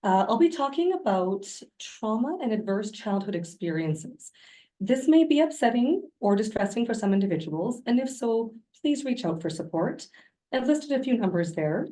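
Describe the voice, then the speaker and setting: Mujer médica ronca, voz suave. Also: female voice, medium pitch, mellow, low-quality recording. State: hoarse from laryngitis. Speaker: physician presenter. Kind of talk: educational presentation.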